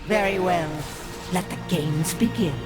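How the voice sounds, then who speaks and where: A woman's voice: on the low side, measured pace, natural voice. Woman doing a haughty evil witch boss, imperious game villain, music behind. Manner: imperious, taunting. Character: haughty villainous female witch, leader of a coven. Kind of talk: video game voice acting.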